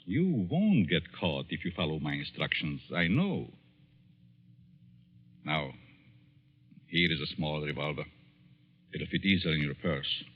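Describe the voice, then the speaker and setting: An actor in a 1940s radio drama playing a coldly persuasive, sinister professor, formal and insinuating, who speaks deliberately. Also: male voice, a bass voice, sing-song, breathy edge, heavily acted. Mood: coolly instructing. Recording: tinny, reverberant old radio recording.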